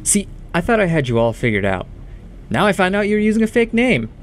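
A man's voice: high-pitched, very animated, brisk, slight background noise. An actor playing a smug, taunting monster disguised as a man, mocking and falsely chummy in a horror audio drama. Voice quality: unnatural, off-sounding.

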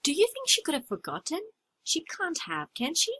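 Bright, lively young woman in scripted dialogue. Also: sits high, moderate pace. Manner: clear, expressive delivery of everyday lines. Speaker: voice actor in listening test dialogues.

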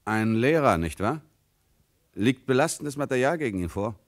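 An actor playing a calm, authoritative middle-aged police commissioner in a radio crime play, terse and probing. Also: male voice, mid-range voice, normal speed, close to an everyday voice. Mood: firm, businesslike. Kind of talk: German radio play acting.

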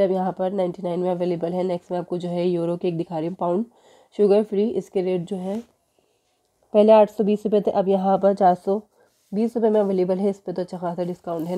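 A woman, walking through supermarket sale prices in a casual vlog, filming a poster on her phone in a store. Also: fairly low pitch. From Pakistan. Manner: steady price listing with filler "jo hai". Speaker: shopping vlogger. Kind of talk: casual product walkthrough. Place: indoors in a supermarket.

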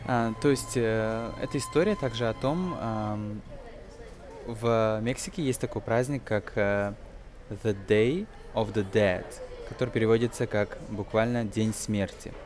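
A young man in his twenties with a fairly low voice teaches English informally. He explains hesitantly, one phrase at a time, while music plays clearly behind him. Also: unhurried. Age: 20s. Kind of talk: informal film-based language lesson.

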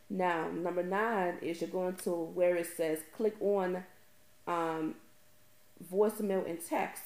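A woman's voice: fairly low pitch, darkish tone, roomy sound. A woman presenting an informal step-by-step tutorial, emphatically repeating key phrases for stress.

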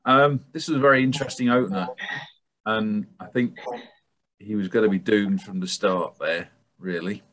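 A man's voice: lowish voice, moderate pace, a bit bright, rough recording. A man in his forties giving a rambling, casual review on a video call, full of ums and sort-ofs. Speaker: panelist. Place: home room with bookshelves. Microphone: webcam on video call.

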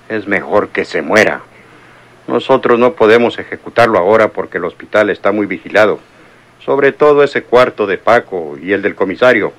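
A man's voice: on the low side, natural voice. A man playing a cold, commanding crime boss. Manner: calm, commanding, menacing. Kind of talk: 1960s radio drama acting.

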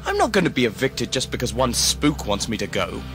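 A man's voice: medium pitch, wildly swooping pitch, a little fast, over music. A man playing a stubborn companion, swooping emotional voice. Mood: pleading, defiant. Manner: emotional, defiant, pleading. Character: stubborn, wounded, loyal male travelling companion. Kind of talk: audio drama acting.

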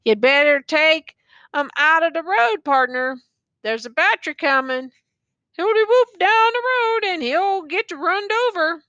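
A woman's voice: extremely high pitch, lively intonation, unhurried, bright, forceful tone, dry recording, highs cut off, voice pushed up, exaggerated voice. A woman voicing a ragged male soldier who speaks bluntly in folksy rural dialect. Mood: urgent.

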